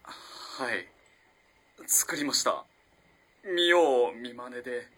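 A man's voice: mid-range voice, slow, breathy edge, full character voice. A man playing a young student in a radio drama, flustered and evasive, politely stammering and hesitant. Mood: sheepish. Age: young adult. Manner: stammering, hesitant, excitable when cornered. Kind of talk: Japanese radio drama acting.

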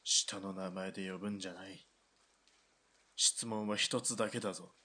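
A man's voice: low-pitched, steady tone, normal speed, sharp tone, breathy edge, heavily acted. A man as a curt, stern army officer. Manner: commanding, curt, authoritative. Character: stern Japanese army lieutenant colonel. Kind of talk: hobby radio drama acting.

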